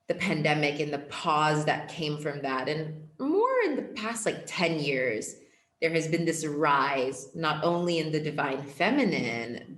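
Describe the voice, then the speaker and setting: Low-voiced young woman in an echoey room. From born in Portugal, raised in Ethiopia. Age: young adult. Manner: reflective, earnest, many filler "like"s. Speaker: guest, empowerment coach. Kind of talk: casual podcast interview.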